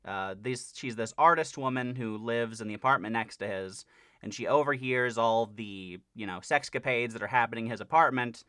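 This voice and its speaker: A man's voice: medium pitch, bright, forceful tone, dry recording, clean studio sound. A man talking casually as a channel host, explaining an update in a conversational way with stressed key words. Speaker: host of a parody dub channel giving an update.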